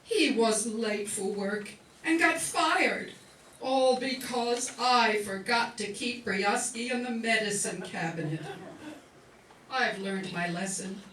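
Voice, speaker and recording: A rueful wife played by a woman delivers a radio commercial testimonial at a slow pace. The recording is distant, with clear noise around the voice. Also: on the high side, a bit bright. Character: regretful wife giving a comic advert testimonial. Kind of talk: old-time radio commercial acting. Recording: roomy, distant, noisy live recording.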